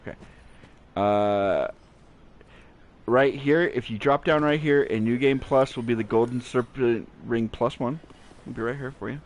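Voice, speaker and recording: Man rambling over gameplay on a headset. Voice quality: naturally deep voice. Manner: relaxed, rambling, frequent fillers and restarts. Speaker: gaming channel host. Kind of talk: casual gameplay commentary. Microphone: cheap gaming headset. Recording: low-quality headset mic, faint hiss.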